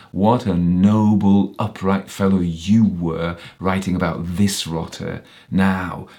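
A man's voice: sits low, creaky, a little mellow. A man reading a story, voicing a crafty, menacing ex-policeman villain, bitter and self-pitying, who sneers and taunts. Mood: sneering. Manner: sneering, taunting, with sudden rises and whines.

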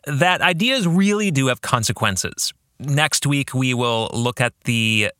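A man hosting a podcast, reading scripted narration and book-club reflections with emphatic, deliberate phrasing. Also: medium pitch, sharp tone, no room sound, clean studio sound. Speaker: podcast host and author.

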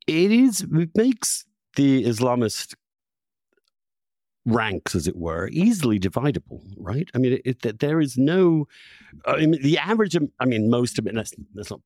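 A man's voice: medium pitch, sing-song, moderate pace, a little mellow, no echo, studio quality. A man hosting a conversational interview, discursive and often breaking off to rephrase himself. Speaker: podcast host, interviewer.

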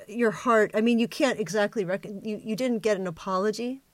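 Woman interviewer, reflective, kinda tentative thinking out loud, dry audio. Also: mid-range voice, brisk, no echo. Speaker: podcast host, interviewer.

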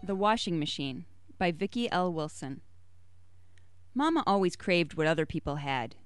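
Smooth-voiced woman reading a short story. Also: on the low side, very animated, normal speed, faint music behind. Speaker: story reader.

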